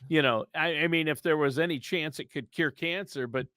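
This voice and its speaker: A man's voice: on the high side, a little fast, sharp tone, no echo, studio quality. An American man co-hosting a podcast in a casual, conversational and agreeable way. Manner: agreeable, affirming. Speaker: podcast co-host.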